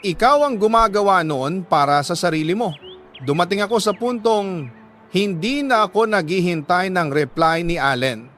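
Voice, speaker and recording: A Filipino man reads a listener's letter as a radio storyteller, narrating in the first person with measured, emotive phrasing and deliberate pauses. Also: high voice, a bit bright.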